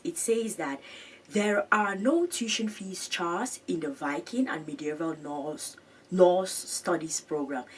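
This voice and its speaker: A woman's voice: on the low side, fairly bright tone, breathy edge, recorded from a distance. A woman hosting an education channel, chatting through web pages on screen, repeating her points and laughing at her own pronunciation.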